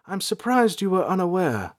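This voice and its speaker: A courteous ranger from a fantasy storybook, acted out by a male narrator. He speaks formally and with composure, pitched a little high. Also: sing-song, normal speed.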